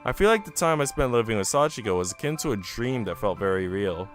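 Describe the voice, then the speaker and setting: A man's voice: on the low side, sing-song, quick pace, faint music behind. A man live streaming, reading a visual novel aloud and dropping into casual, slangy asides to his chat. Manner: informal, slangy, chatty.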